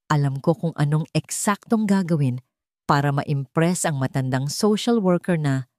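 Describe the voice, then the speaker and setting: A woman's voice: sits low, normal speed, no echo, studio quality, natural voice. A woman voicing a scheming, arrogant, falsely sweet mother in an audio story. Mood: haughty, scornful. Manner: sharp and haughty, or sugary when pretending.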